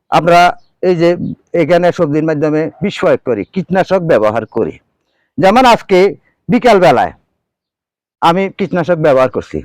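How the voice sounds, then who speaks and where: A man's voice: sits high, no echo, poor audio. A middle-aged man, giving an earnest, emphatic and pleading advisory talk to viewers as a farmer, repeating key phrases. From Bangladesh. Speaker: farmer and farming video presenter.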